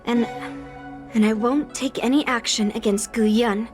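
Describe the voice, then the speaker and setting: A woman voicing an earnest, resolute young swordswoman in a video game, speaking solemnly and with dramatic pauses. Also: fairly high pitch, fairly even intonation, darkish tone, faint music behind, lightly acted. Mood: calmer, hesitant.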